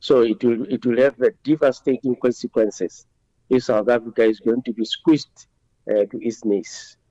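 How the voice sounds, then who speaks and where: A man with a Botswanan accent, answering as a politician in an interview in a halting, deliberate way, with many hesitations. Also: medium pitch, steady tone, mellow, no room sound, lo-fi sound. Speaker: member of parliament being interviewed.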